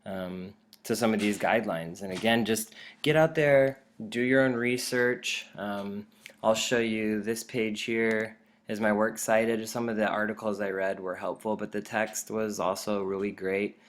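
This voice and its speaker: A man's voice: on the low side, steady tone, unhurried. An American man speaking as a teacher, giving an informal instructional presentation in an encouraging manner.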